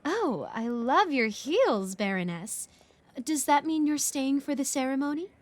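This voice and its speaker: Actress as scheming society noblewoman for audio role play: lightly high register, effusive, affected, sly. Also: sing-song, measured pace. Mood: gushing, flattering. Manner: effusive, affected courtly politeness. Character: gushing, flattering, sly noblewoman, a duchess.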